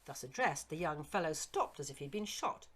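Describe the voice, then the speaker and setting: A woman reading a Victorian horror story as scripted narration, in an expressive, literary storytelling manner. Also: sits low, moderate pace. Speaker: narrator, audiobook reader.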